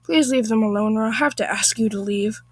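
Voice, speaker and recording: A woman voicing a male restaurant manager in a story, polite, measured and firm. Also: on the high side, breathy edge, no room sound, studio quality.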